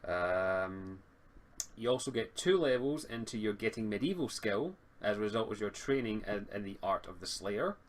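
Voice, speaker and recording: A man's voice: on the low side, expressive, normal speed. A man acting as game master and host, casually explaining tabletop game rules. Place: online group game session, streamed. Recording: noticeable background noise.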